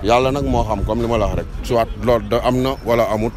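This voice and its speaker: A man's voice: medium pitch, steady tone, fast, a crowd in the background. A middle-aged man with a Senegalese accent giving his opinion conversationally in a street interview. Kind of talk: conversational vox pop opinion. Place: outdoors by a street. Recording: clear traffic and street noise.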